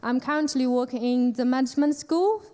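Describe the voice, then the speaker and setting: A woman's voice: sits high, brisk, warm tone. A woman from China, a business lecturer, introducing herself to students in a lighthearted way. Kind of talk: self-introduction speech. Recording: hall reverb.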